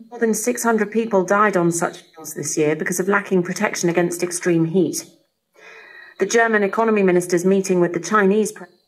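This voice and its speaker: Slightly husky female radio newsreader. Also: medium pitch, low-quality recording. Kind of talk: scripted radio news bulletin. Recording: shortwave radio broadcast reception.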